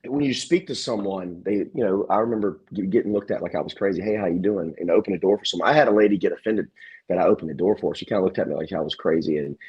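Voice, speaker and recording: A man from South Louisiana, a podcast guest talking in an easygoing, halting way. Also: deep voice, a little mellow, slightly rough, lo-fi sound. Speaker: gym owner guest. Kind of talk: casual conversation.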